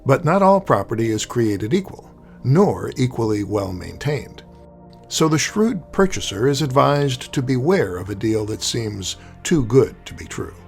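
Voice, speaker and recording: A man hosting an audio play series, giving a scripted theatrical introduction in a measured, formal, wry way. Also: medium pitch, big pitch swings, creaky. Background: music.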